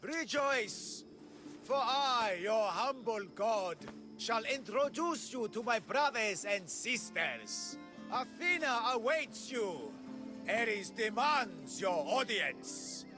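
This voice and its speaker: Slow, high-pitched, pompous self-proclaimed god. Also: male voice, sing-song, full character voice. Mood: exultant. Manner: pompous, theatrical, declamatory. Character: grandiose, deluded self-proclaimed male god and prophet. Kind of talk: video game cutscene acting. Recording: music playing behind the voice.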